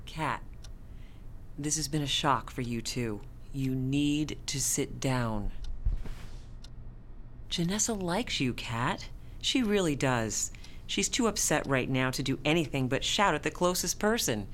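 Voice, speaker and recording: An actress in a radio drama playing a composed, firm yet compassionate hospital staffer, speaking in a measured, formal, consoling way. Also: female voice, very deep voice, animated, fairly bright tone, slight background noise, heavily acted.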